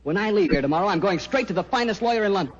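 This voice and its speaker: An actor playing a bitter young American claimant, sneering and confrontational, in a 1940s radio drama style. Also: male voice, sits high, expressive, racing. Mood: vindictive. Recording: muffled old radio recording, long reverb.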